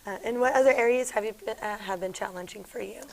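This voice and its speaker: A woman's voice: medium pitch, fast, creaky, poor audio. A young woman, a student interviewer, asking polite questions with short affirmations.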